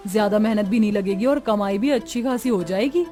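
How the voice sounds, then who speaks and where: A woman's voice: mid-range voice, fairly quick, warm tone, lightly acted. A woman acting the caring, earnest women of a family in a dramatised story. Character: young caring dutiful daughter-in-law and worried mothers. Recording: music under the voice.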